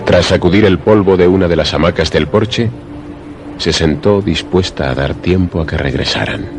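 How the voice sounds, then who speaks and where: A man narrating a suspense radio drama in a measured, literary storytelling manner. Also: deep voice. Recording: prominent music behind the voice. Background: music.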